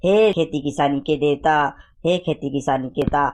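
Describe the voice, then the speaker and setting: A woman's voice: low voice, normal speed, darkish tone, slight background noise, close to an everyday voice. A woman voicing an irritable, devout village farmer father in a cartoon comedy, with a Chhattisgarhi accent. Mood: prayerful.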